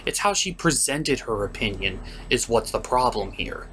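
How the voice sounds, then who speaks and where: Male review host, highly animated and sarcastic, theatrical swings, some background noise. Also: moderate pace. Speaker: host of a cartoon review show. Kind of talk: comedic review commentary.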